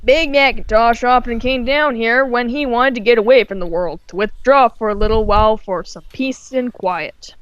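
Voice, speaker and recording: High, clear-voiced woman reading a story. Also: moderate pace, few pauses, fairly bright tone, muffled recording. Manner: informal, breaks off to comment and swear. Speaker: storyteller, host. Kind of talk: story reading with casual asides.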